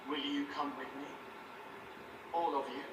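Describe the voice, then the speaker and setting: A man playing an anxious, gentle, otherworldly young spirit in a video game, speaking in halting, dreamy fragments. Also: fairly high pitch, fairly even intonation, natural voice. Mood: fearful. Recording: roomy, distant, noisy game capture.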